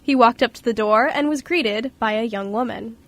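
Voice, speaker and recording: A woman's voice: fairly high pitch, normal speed. A woman reading scripted story narration for an amateur radio play.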